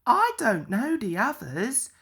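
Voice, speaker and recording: A woman voicing a small, friendly, chatty male engine with a squeaky voice in a storybook. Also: very animated, slow pace, a bit bright, breathy edge, heavily acted. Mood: cheerful. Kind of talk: storybook character voice.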